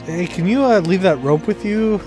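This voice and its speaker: A man's voice: high voice, animated, normal speed, music in the background. A man chatting casually and jokingly as a co-op gaming partner. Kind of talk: casual chat while gaming.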